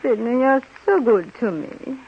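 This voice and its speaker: High-voiced, temperamental European movie diva. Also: female voice, expressive. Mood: plaintive, cooing. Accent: continental European. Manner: theatrical, imperious, dramatic pauses and repetition. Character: temperamental, melodramatic European movie actress, self-pitying and wheedling. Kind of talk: 1930s radio comedy acting. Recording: muffled, noisy 1930s radio recording.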